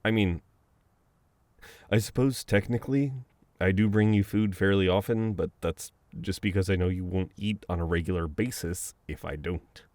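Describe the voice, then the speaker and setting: A man playing a flustered, teasing, protective best friend in an intimate, conversational audio roleplay, with halting banter. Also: low-pitched, a little mellow, dry recording, close to an everyday voice. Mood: playful, explaining.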